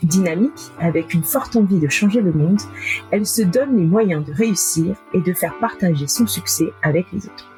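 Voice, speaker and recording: A woman's voice: fairly low pitch, expressive, normal speed, a little mellow, faint music behind, poor audio. A woman hosting a podcast, upbeat and friendly as she introduces her guest and chats with her. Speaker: podcast host. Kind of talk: podcast hosting, scripted intro then casual chat.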